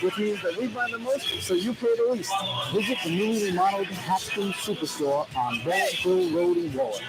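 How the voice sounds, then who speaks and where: A man's voice: high-pitched, sing-song, fairly quick, heavy background noise. A man from Rhode Island reading scripted ad narration as the owner of a family business.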